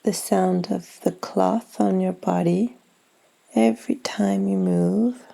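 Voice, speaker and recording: Gravelly, mellow woman guiding meditation. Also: fairly low pitch, steady tone. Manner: soothing, gentle, unhurried instruction with long pauses. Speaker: yoga nidra guide. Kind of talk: guided meditation.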